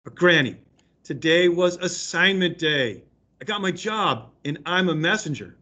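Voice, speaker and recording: A man voicing an excited, proud young girl in a storybook read-aloud. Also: fairly high pitch, measured pace, dull audio, pitched above the performer's own voice, exaggerated voice. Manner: eager, proud.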